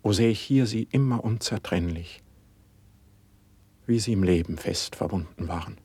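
An actor playing a dreamy young poet in a classical verse radio play, speaking in a lofty, reverent way. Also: male voice, fairly low pitch, moderate pace, mellow, husky, no room sound. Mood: rapt, yearning. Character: dreamy young male poet, rapt and humble.

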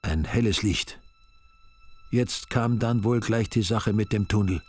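A man's voice: fairly low pitch, measured pace, darkish tone, breathy edge. A man narrating a story with dry, ironic humor. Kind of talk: wry storytelling reading.

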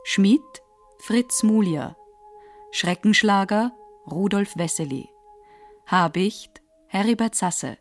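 A woman's voice: mid-range voice, slow, long pauses, faint music behind. A woman reading radio drama credits as a formal announcer.

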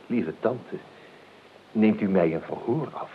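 A drily ironic, evasive nephew in a radio play. He has a low voice with lively, roaming intonation and hesitates his way through ornate, polite phrases. The old radio recording sounds dull. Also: takes it slowly, husky, full character voice. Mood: airy, ironic. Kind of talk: Dutch radio play acting.

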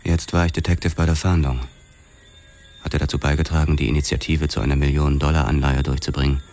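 An actor playing a brooding, terse young police detective who narrates a noir crime drama in a measured, sombre way. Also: male voice, very deep voice, level tone, darkish tone, a little hiss or hum. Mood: reflective. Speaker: first-person narrator.

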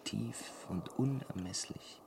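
An earnest, easily startled ordinary man in a German radio drama. His voice is deep and unhurried, soft and hesitant. There is faint noise in the background. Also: vocal fry. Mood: dreamy, wistful. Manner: hesitant, soft-spoken, wondering.

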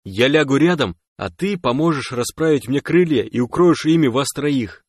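A man's voice: mid-range voice, expressive, moderate pace, breathy edge, no room sound, clean studio sound, voice pushed up. Man voicing a stern, touchy winged alien. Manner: stern, reproachful.

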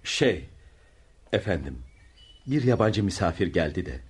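A man's voice: sits low, very animated, measured pace, husky, full character voice. A male actor playing a humble, obedient dervish disciple in a radio play, polite and deferential. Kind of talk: Turkish radio theatre acting.

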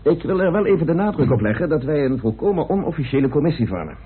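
A man acting in a Dutch radio play as a formal, polite gentleman who chairs an unofficial committee. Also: fairly high pitch, very animated, quick pace, crowd noise. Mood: courteous. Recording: muffled, tinny old radio recording.

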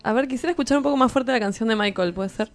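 A woman's voice: on the high side, rapid-fire. A woman with an Argentine Rioplatense accent, chatting casually on a radio show in a playful, irreverent, slangy way. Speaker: radio host and columnist.

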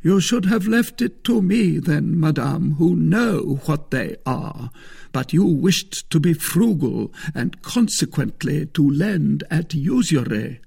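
Man doing a pompous musketeer, raised and gravelly. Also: on the high side, lively intonation, takes it slowly, a little mellow, pitched above the performer's own voice, full character voice. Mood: haughty reproach. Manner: pompous, majestic, scornful. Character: proud, haughty male musketeer, majestically offended.

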